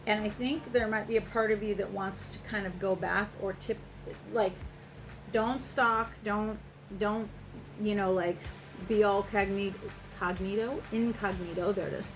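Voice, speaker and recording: A woman's voice: mid-range voice, steady tone, measured pace, soft background music, rough recording. A woman tarot reader speaking directly to the listener in a casual, reassuring advice monologue, swearing freely.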